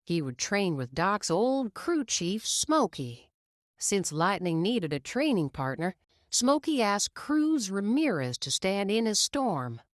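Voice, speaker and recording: A woman reading a children's picture book aloud in a slow, expressive storytelling manner. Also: medium pitch, dry recording, clean studio sound.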